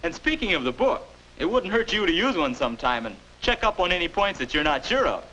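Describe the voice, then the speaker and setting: A man's voice: high-pitched, sing-song, brisk, sharp tone, exaggerated voice. An American actor in his twenties playing a patient, encouraging older self instructing a boy in 1950s educational film style. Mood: helpful. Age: 20s. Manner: instructive, encouraging, neatly spoken. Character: patient, upbeat 21-year-old older self mentoring a boy. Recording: noisy old 1950s film soundtrack.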